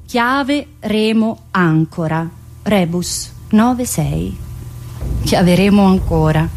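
High-voiced woman in clipped dramatic monologue. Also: slight background noise. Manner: clipped, declamatory phrases, shifting between voices. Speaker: writer and solo stage performer. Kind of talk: dramatic monologue of fragmented lists and quotes.

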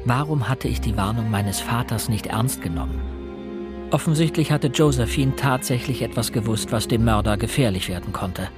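Earnest young male sleuth played by an actor, radio drama narrator and lead, medium pitch, music bed. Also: moderate pace, close to an everyday voice. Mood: earnest, concerned. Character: earnest young Englishman, amateur investigator and narrator. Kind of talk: German radio play narration and dialogue. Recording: studio radio play with music underneath.

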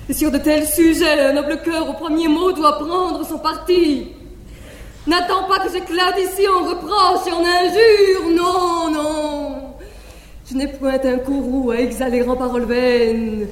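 An actress playing a proud, wronged noble wife who declaims with bitter irony in a classical stage drama, on an old live theatre recording. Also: female voice, sits high, echoey room, in a large hall.

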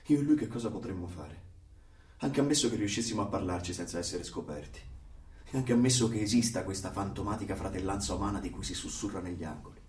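A man's voice: deep voice, fast, a little mellow, lightly acted. An actor playing a wary, secretly rebellious man living under surveillance, guarded and uneasy, in a radio drama. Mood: secretive, brooding. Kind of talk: theatrical radio drama acting. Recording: echoey, distant, faint background noise.

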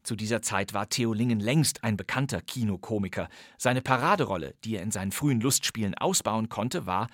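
A man's voice: on the low side, expressive, brisk, few pauses, no room sound. A man hosting a crime radio-play podcast, introducing an old recording in a playful, conversational way. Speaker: podcast host. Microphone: close studio microphone. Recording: dry studio sound.

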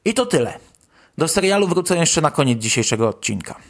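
Higher-voiced man hosting a podcast. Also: big pitch swings, a little fast. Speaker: podcast host. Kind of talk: news roundup podcast narration.

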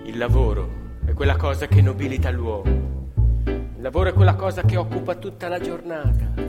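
A man acting as an earnest storyteller of a workers' ballad, with measured, declarative theatrical delivery. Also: medium pitch, natural voice. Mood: declamatory. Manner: measured, declarative, repetitive cadence. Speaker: stage actor, narrator and questioner. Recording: reverberant theatre hall, music playing along. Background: live violin music.

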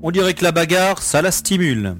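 Actor as grumbling male space adventurer, audio drama, fairly high, very animated intonation, light music. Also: fairly quick. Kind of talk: amateur audio drama acting.

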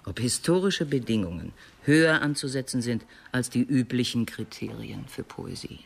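An actor playing a thoughtful literary man in a radio drama, explaining in a deliberate, reflective way with a creaky voice. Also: medium pitch, lively intonation. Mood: reflective, puzzled. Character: thoughtful, reflective literary acquaintance of a murdered professor. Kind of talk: German radio drama acting. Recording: faint background noise.

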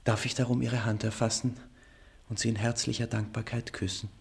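A man's voice: mid-range voice, little pitch movement, brisk, gravelly, husky. An actor playing a cheerful, self-mocking composer from the eighteenth century, telling his tale in a florid, courteous way in a German radio play. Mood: moved, grateful.

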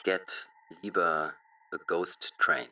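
A man's voice: low-pitched, animated, slow pace, vocal fry, pushed and bright, a little hiss or hum, exaggerated voice, low-quality recording. An actor playing a curious, mildly grumpy young man in a radio drama, talking in short casual phrases. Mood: mildly defensive.

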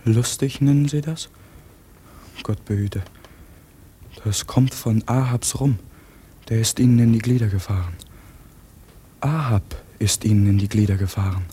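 An actor in a radio drama playing a timid, fearful ship's hand, muttering anxiously to himself. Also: male voice, fairly low pitch, a little slow, long pauses, a little hiss or hum, lightly acted. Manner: anxious muttering, hushed at times. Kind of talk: German radio drama acting.

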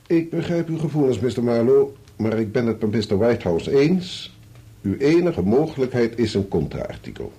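Mellow, courteous lawyer. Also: male voice, mid-range voice, sing-song. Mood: sober, courteous. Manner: formal, measured. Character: courteous, sober senior lawyer giving counsel. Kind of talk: Dutch radio play acting.

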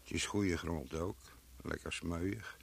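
An actor playing an earthy gravedigger in a radio play, muttering and musing to himself about the dead. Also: male voice, low-pitched, fairly even intonation, slow, vocal fry, slightly rough, exaggerated voice. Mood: musing, matter-of-fact.

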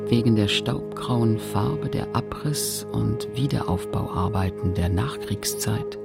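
A narrator in a radio play, reflectively recalling a postwar provincial childhood in measured, deliberate, literary recitation. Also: medium pitch, vocal fry, over loud music.

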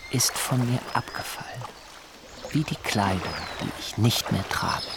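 An earnest young nudist planter lost in his own thoughts. His voice is low, with plenty of creak, and he weighs his phrases slowly as in a radio play monologue. Clear ambient noise sits behind him. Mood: introspective, relieved. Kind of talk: introspective first-person radio drama monologue.

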